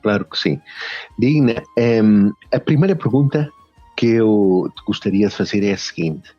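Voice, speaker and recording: A man from Portugal hosts a radio interview in a European Portuguese accent, hesitating often with fillers. His voice sits low and dark. The recording is dry and dull, with faint music underneath. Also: expressive, unhurried, dry recording. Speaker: radio host.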